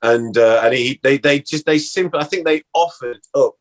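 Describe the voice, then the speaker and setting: A man with a mid-pitched voice. He chats informally as a guest, feeling his way haltingly through an anecdote. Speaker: actor, interview guest. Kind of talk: casual anecdotal chat.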